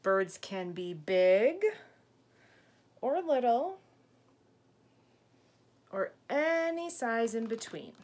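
A woman in her thirties reading a picture book aloud to young children, gently explaining and asking questions as a teacher does. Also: on the high side, big pitch swings, very slow, long pauses. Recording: clear background room noise.

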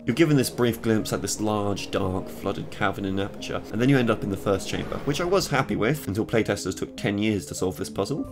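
Quick, wry British teen guy. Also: on the low side, animated, music in the background. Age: late teens. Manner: sarcastic, quick and self-mocking. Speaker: game mod creator. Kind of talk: casual devlog narration. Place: small bedroom with purple light.

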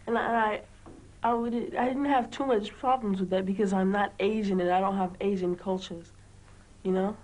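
A British teenage girl with a medium-pitched voice talks informally. She is a student giving interview answers in a classroom. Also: fairly even intonation, moderate pace, darkish tone. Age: teens. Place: small indoor classroom.

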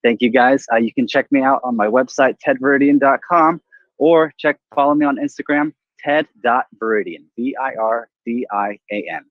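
A man's voice: mid-range voice, moderate pace, dry recording, highs cut off. A young man, a chef giving an easygoing cooking demo outdoors in a garden. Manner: easygoing explaining.

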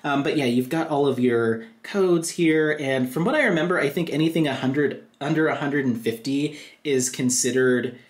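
A woman's voice: sits low, moderate pace. A woman giving a casual, chatty unboxing commentary, thinking aloud as she goes at a craft table. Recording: roomy, echoey sound with background noise. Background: crinkling plastic bags, clicks.

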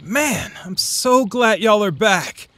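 A man playing a friendly young guy in an audio drama, colloquial and expressive. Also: high-pitched, slow pace, full character voice. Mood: casual, exasperated.

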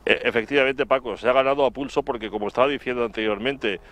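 A middle-aged man with an accent from Spain, reporting live outdoors in a wordy, halting way, repeating words as he recalls things. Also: medium pitch, fairly bright tone. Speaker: television reporter. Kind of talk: live report, spoken recollection. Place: outdoors in front of an office building. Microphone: handheld microphone, through a face mask.

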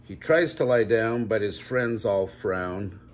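Slow, low-voiced older man reading aloud. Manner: measured, drawn-out storybook phrasing. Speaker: grandpa reading a picture book aloud. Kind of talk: children's read-aloud storytelling. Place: small home room, indoors.

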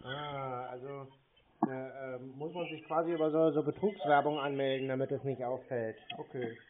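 A man in a casual phone conversation, talking in a deadpan, evasive manner as he baits a caller. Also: medium pitch, moderate pace. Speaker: prank call recipient baiting phone scammers. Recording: narrow, tinny phone line.

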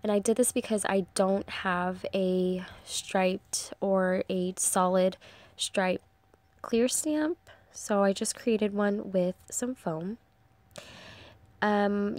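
A woman's voice: mid-range voice, darkish tone, dry recording. A young woman with a congested, stuffy voice, chatting casually through a craft tutorial voice-over, rambling with lots of ums. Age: young adult. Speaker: crafting channel host.

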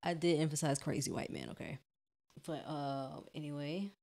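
Low-pitched woman, expressive game streamer. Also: moderate pace. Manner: expressive, exclaiming reactions. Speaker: gaming streamer. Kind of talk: let's play, reading a visual novel aloud with reactions. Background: game music.

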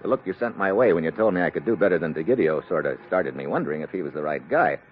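An actor playing a tough, wry American secret agent in an old radio adventure, with sardonic, clipped delivery. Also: male voice, low voice, expressive, fast talker. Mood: wry, probing. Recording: muffled, noisy old radio recording.

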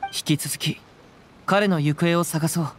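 An actor playing a calm, perceptive young wandering samurai in a Japanese video game, with measured, archaic samurai phrasing. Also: male voice, a little high, slight background noise, lightly acted. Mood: calm, deliberate.

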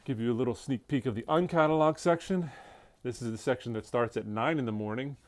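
A man's voice: mid-range voice, a little fast, no room sound. A man from an auction house walking through a showroom, casually listing furniture and lots as he goes. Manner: offhand, listing items as he walks. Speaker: auction house staff giving a preview walkthrough. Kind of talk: casual walkthrough narration. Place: large indoor auction showroom full of furniture. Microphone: handheld phone camera, speaker off screen.

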